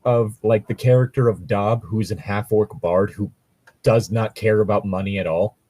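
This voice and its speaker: A man's voice: on the low side, normal speed, mellow, dry recording. A man chatting casually as a co-host, rambling and jokey with a bit of swearing. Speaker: co-host reading and discussing tabletop gaming stories. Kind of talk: casual chat.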